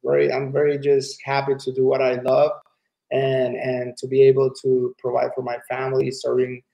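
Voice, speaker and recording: A man with a Puerto Rican accent, a guest on an interview show, talking in a relaxed, halting way with frequent restarts. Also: mid-range voice, creaky, poor audio. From Puerto Rico. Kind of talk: casual conversation.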